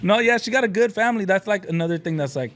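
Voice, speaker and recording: A man's voice: high voice, expressive, fast talker, a bit bright. A man co-hosting a podcast, talking in a laid-back, rambling way full of fillers. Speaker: podcast co-host. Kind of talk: casual chat.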